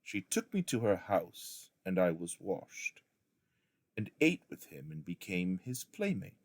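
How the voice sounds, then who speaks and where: A man reading a storybook memoir aloud as a narrator, in a measured, deliberate storytelling manner. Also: sits low, very animated, darkish tone, no room sound. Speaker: audiobook reader, schoolteacher reading aloud.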